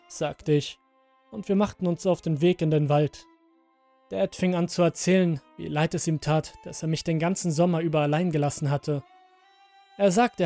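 A man's voice: on the high side, mellow. A man narrating a scripted first-person horror story for a podcast.

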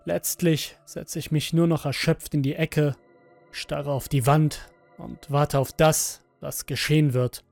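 A man reads a horror audio story in a slightly high voice. His delivery is slow, deliberate and dramatic, with music playing faintly underneath. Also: steady tone, creaky, darkish tone, no echo. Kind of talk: scripted first-person horror audio story narration. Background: background music.

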